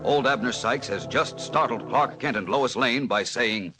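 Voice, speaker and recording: A man's voice: medium pitch, measured pace, over music, low-quality recording. An American man narrating a 1940s radio serial in a suspenseful, emphatic, theatrical style.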